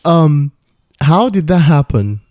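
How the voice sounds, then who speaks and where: A man's voice: fairly high pitch, sing-song, slow pace, mellow, no room sound, clean studio sound. A man hosting a jazz interview podcast, talking in a rambling, easygoing way with frequent laughs and stumbles. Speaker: podcast host. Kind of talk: casual interview chat.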